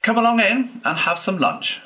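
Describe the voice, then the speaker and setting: A man voicing a boastful, excitable gentleman toad in a storybook, declaiming in a pompous, gushing way. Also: mid-range voice, unhurried, voice pushed up, low-quality recording. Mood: coaxing, insistent.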